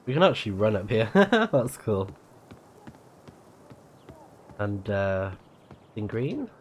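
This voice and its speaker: A man's voice: fairly low pitch, big pitch swings, takes it slowly, a little hiss or hum. A man giving casual live let's play commentary, musing aloud and reacting as the game goes. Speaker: game streamer.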